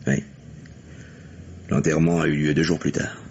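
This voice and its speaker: An actor playing an earnest, methodical police lieutenant in a French mystery radio play, speaking deliberately. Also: male voice, extremely low pitch, flat delivery, a little mellow. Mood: somber. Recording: muffled, hissy vintage radio recording.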